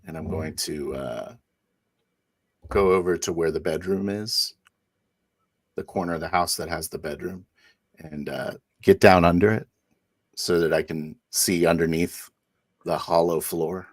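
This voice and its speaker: Very deep, slow-paced man narrating. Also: fairly even intonation, dry recording, clean studio sound. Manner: halting, deliberate. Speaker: tabletop roleplaying player. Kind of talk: improvised first-person game narration.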